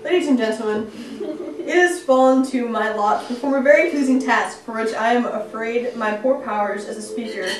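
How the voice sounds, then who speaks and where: Young woman, student actor, earnest stagey reading, slightly high voice, echoey distant room. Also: brisk. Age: young adult. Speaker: student playing Gabriel Conroy. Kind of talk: amateur student drama. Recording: echoey room from far off, background noise.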